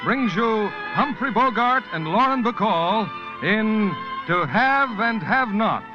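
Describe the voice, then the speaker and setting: A man's voice: sits high, lively intonation, takes it slowly, over music. A man announcing a 1940s radio theatre show in a grand, declamatory manner. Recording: dull old radio broadcast.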